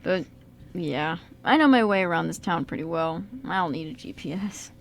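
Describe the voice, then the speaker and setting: A woman playing an awkward, easily flustered male con man in an amateur radio play, halting and muttering. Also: fairly low pitch, lively intonation, vocal fry. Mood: helpful, low-key.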